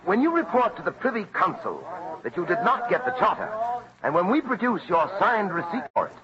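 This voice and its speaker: An actor playing a self-assured colonial militia captain in a radio drama, arguing firmly and formally. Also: male voice, sits high, big pitch swings, over a noisy crowd. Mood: firm, confident. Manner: firm, formal, measured argument. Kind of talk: golden age radio drama acting. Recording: muffled vintage radio recording.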